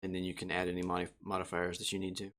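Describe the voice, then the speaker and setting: A man's voice: deep voice, monotone, rapid-fire, a bit bright, a little hiss or hum. A man talking casually as a player in a tabletop game, thinking aloud as he goes. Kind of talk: casual, spontaneous game talk.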